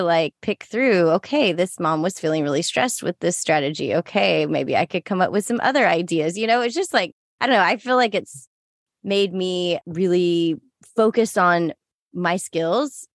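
A woman's voice: fairly low pitch, lively intonation, moderate pace, no room sound, clean studio sound. An American woman co-hosting a podcast in casual conversation, chatty and given to explaining things as she goes. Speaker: podcast co-host and lactation consultant.

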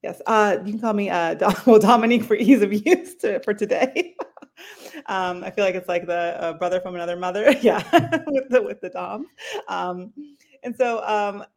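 A woman hosting a podcast, friendly and quick to laugh, with many fillers as she interviews a guest. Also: on the low side, darkish tone. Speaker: podcast host.

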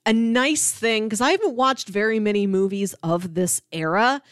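Woman chatting about movies on a podcast, mid pitch, super informal, says like a lot, dry recording. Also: normal speed, no echo. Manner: informal, peppers speech with "like". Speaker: podcast co-host. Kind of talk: casual movie discussion.

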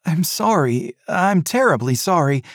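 A man's voice: on the high side, sing-song, a little slow, dry recording, clean studio sound, voice pushed up, exaggerated voice. Man voicing an apologetic young college son. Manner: eager, apologetic.

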